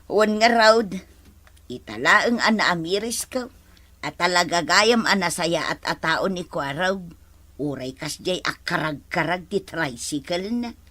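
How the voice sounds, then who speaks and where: A woman's voice: fairly low pitch, expressive, a little slow, a bit bright, no room sound. A woman with an Ilocano accent acting an aggrieved, fretting village wife in a comedy drama. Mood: chatty.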